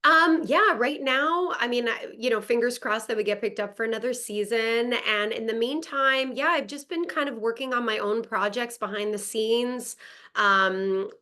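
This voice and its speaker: A woman with a crisp, bright voice pitched a little high chats in a relaxed interview from home. She grew up in Montreal speaking French first. Her talk is upbeat and full of easy laughs over a video call. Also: moderate pace. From Montreal, Canada. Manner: chatty, upbeat, laughs often. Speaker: actress guest. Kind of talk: casual interview chat. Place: home in Los Angeles.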